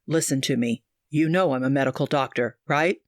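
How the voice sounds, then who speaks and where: A woman voicing an earnest young male doctor who speaks low, grave and pleading. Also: moderate pace, dry recording, studio quality. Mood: urgent.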